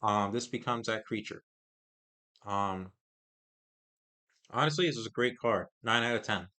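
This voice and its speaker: A man casually reviewing trading cards, reading card text aloud in a halting, hesitant way, his voice getting hoarse. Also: lowish voice, pushed and bright. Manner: halting, hesitant, many pauses and restarts.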